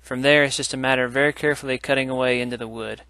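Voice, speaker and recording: Bright-voiced man giving a how-to. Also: medium pitch, steady tone, rapid-fire, no echo. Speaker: woodcarving tutorial host. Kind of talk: casual how-to narration.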